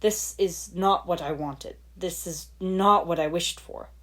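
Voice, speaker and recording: A woman voicing a bewildered evil warlord father in a story, speaking firmly and deliberately. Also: fairly low pitch, a bit bright. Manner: firm, slow, puzzled. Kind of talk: fan fiction audiobook dialogue.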